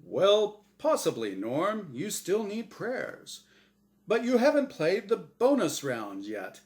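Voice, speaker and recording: Man putting on a high, showy game-show host angel. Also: huge pitch swings, slow pace, darkish tone, exaggerated voice. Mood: showy, excited. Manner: booming, exaggerated announcer delivery.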